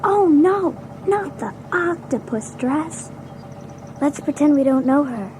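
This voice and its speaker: A woman voicing an excitable, expressive schoolchild in a children's read-along story. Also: high-pitched, slow, slight background noise. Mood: mock dismay.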